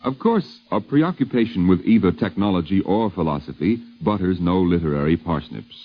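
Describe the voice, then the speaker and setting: A man's voice: low voice, lively intonation, moderate pace. An American man narrating a scripted 1950s radio documentary in a formal, wry, literary manner. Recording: dull vintage radio recording.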